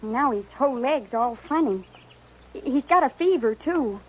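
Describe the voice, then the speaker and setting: A woman's voice: high voice, lively intonation, moderate pace, breathy edge. A woman playing a wary, plain-spoken young homestead girl in a 1950s radio western, with a simple, childlike manner. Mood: worried, pleading. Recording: muffled vintage radio recording.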